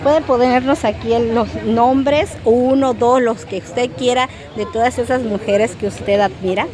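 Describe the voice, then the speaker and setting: A woman's voice: fairly high pitch, steady tone, unhurried, a little mellow. A woman with a Mexican accent doing casual street interviews, encouraging and affirming the people she talks to, outdoors in a town. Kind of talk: casual vox-pop interviewing. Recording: noisy outdoor street, traffic behind.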